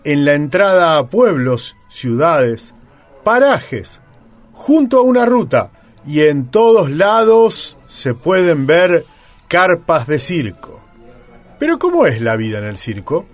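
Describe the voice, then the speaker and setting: Lively radio reporter. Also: male voice, a little high. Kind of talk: radio report narration and interview questions.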